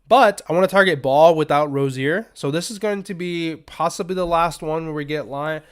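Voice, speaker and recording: A man hosting a sports betting podcast in a loose, rambling, casual way, his voice a little raspy and strained as he is under the weather. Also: on the high side, normal speed. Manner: rambling, loose, filler-heavy asides. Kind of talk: casual unscripted betting picks talk.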